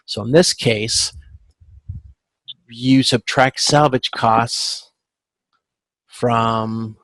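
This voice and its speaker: A male instructor with a creaky, gravelly voice walks through material informally. He speaks at a middling pitch, stops and corrects himself, and often checks for agreement. The sound is dry and close, with very little room in it. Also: steady tone, a bit bright, no echo, poor audio. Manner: halting, self-correcting, often checking with "okay?". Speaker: instructor teaching supply chain management. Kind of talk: informal lecture walking through spreadsheet calculations. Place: online video conference with screen share.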